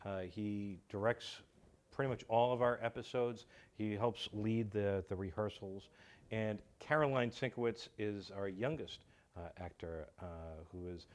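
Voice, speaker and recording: Talk show male, lowish pitch, enthusiastic, hesitant phrasing. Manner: halting, enthusiastic. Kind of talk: casual talk show conversation.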